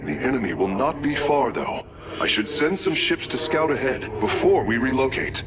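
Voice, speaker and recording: A man's voice: mid-range voice, big pitch swings, moderate pace, a loud crowd, natural voice. A man voicing an earnest, dutiful alien engineer in a video game, with formal, precise phrasing. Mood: focused, pondering. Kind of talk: dramatic video game voice acting.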